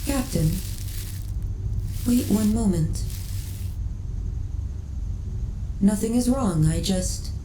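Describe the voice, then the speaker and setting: A woman playing a lonely, devoted female starship AI in a soft ASMR sci-fi audio roleplay, speaking in measured, politely formal phrases. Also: medium pitch. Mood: tender, soothing. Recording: roomy echo, faint background noise.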